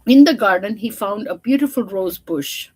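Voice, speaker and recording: A woman's voice: medium pitch, expressive, normal speed, a little mellow, husky. A woman storyteller reading a children's picture book aloud, with informal, chatty asides to her listeners.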